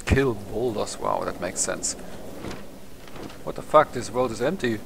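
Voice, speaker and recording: A man's voice: lowish voice, animated, unhurried, creaky, noisy background. German-accented man narrating a game. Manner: rambling, swears, laughs at himself. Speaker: gameplay commentator. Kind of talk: casual let's play commentary. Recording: game music behind the voice.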